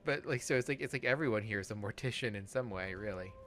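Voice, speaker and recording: A man's voice: fairly low pitch, fast, fairly bright tone, soft background music. A man hosting a let's play, giving casual gaming commentary.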